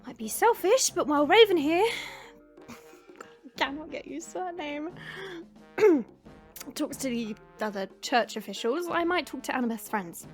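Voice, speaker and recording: Woman acting a high, cheeky young adventurer. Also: animated, unhurried, faint music behind. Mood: teasing. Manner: teasing, playful. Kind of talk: audio drama banter.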